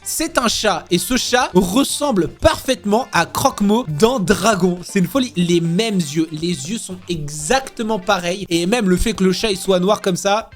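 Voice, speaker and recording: A man's voice: high voice, animated, measured pace, few pauses, faint music behind. A young man giving casual reaction commentary as a YouTube host, in a slangy, exclamatory and repetitive way.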